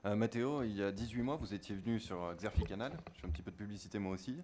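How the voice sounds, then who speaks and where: A man moderates a conference panel in a university lecture hall, turning from one speaker to the next. He talks quickly in a fairly low voice and puts his questions briskly, with a faint hum in the background. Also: dark tone.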